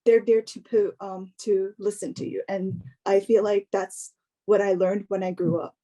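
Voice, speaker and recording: A young woman giving an informal personal talk in a hesitant, halting way, often filling in with "like". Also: medium pitch, level tone, warm tone, low-quality recording. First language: English. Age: young adult.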